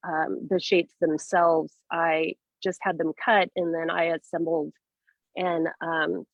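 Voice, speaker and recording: A woman artist chats in a relaxed, friendly way on a video call. Her voice sits a little low, and the sound is dry and slightly dull. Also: no echo. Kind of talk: casual chat on a video call.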